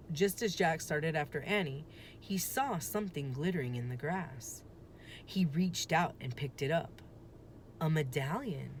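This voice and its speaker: A woman reading a children's storybook aloud as a teacher would, narrating the story. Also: low voice. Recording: faint background noise.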